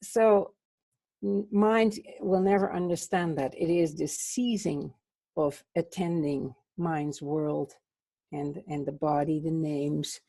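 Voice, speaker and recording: Slow, husky woman musing contemplatively. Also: lowish voice, mellow. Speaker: book club co-host. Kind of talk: contemplative spiritual discussion.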